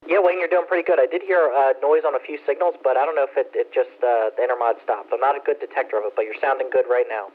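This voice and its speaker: Male net controller, mid-pitched, courteous and unscripted, heard through a band-limited radio transmission. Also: fairly even intonation, fast talker, hardly any pauses. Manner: courteous, informal. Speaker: amateur radio net host. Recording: muffled two-way ham radio transmission.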